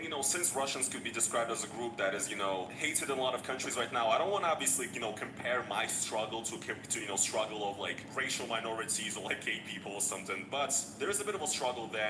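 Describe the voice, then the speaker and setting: Young man, fast loose talk, echoey clip, rumble behind. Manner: quick, loose, frequent "you know". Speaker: interviewee in a played video. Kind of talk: casual talk.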